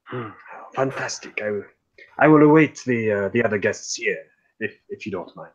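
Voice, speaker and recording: A courteous gentleman dinner guest, played by a man, formal and mannered in a tabletop mystery game. He speaks haltingly in a slightly low voice, over slightly dull, lo-fi audio. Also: expressive. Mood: courteous, musing.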